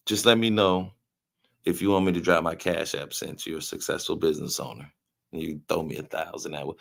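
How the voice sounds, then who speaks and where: A man's voice: medium pitch, vocal fry. A man hosting a casual livestream, chatting loosely and joking with viewers in the chat. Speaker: livestream host. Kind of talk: casual live-stream commentary, reading chat.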